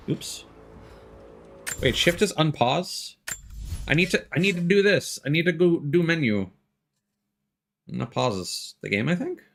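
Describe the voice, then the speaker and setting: A man streaming a video game, commenting casually and playfully, thinking aloud and reading out prompts. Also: mid-range voice. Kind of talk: casual live gameplay commentary.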